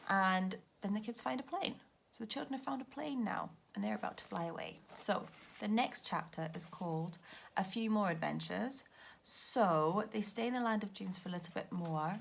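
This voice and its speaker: A woman's voice: on the low side, gravelly, fairly bright tone, a little hiss or hum. A woman primary school teacher explaining a task to children, often asking simple questions to check they follow. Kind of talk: read-aloud lesson explaining a task to children.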